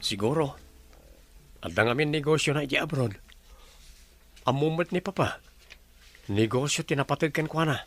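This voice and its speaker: A man's voice: fairly high pitch, normal speed, long pauses, a bit bright, faint music behind. A man acting an earnest young boss with an Ilocano accent in a radio drama.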